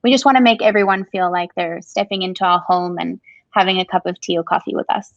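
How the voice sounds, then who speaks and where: A woman's voice: lowish voice, quick pace, no room sound, dull audio. A woman from Zimbabwe with a Zimbabwean accent, a coffee shop owner talking earnestly as a guest in a casual interview. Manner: warm, earnest, says "absolutely" often.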